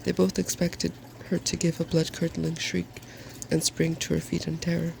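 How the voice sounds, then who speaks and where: Very creaky, soft-spoken male storyteller. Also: on the high side, completely flat delivery, normal speed, a little hiss or hum, poor audio. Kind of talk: soft-spoken ASMR fairy tale reading.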